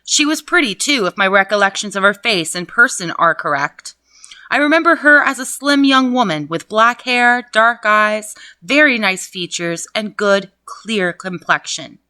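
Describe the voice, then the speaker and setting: A woman's voice: mid-range voice, animated, measured pace, pushed and bright, dry recording. A woman reading a classic novel aloud at home, breaking off for casual, laughing, profane asides. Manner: laughs often, swears, chatty asides. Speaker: reader and host. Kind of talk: casual book reading with commentary.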